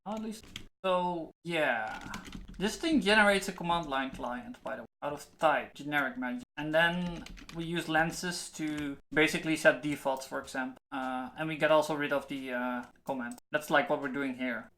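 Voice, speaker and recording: A streamer thinks aloud while coding, with a bright, tense tone. The talk is casual, trailing off into half-finished thoughts aimed at the screen. Faint hiss and keyboard typing sit behind the voice. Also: medium pitch, moderate pace.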